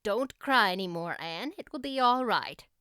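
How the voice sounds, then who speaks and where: A woman voicing a stern, practical but gruffly kind older female guardian in an audiobook, with a brisk, curt manner. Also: mid-range voice, lively intonation, a bit bright, no echo, studio quality, close to an everyday voice. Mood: gruffly reassuring. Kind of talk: audiobook character acting.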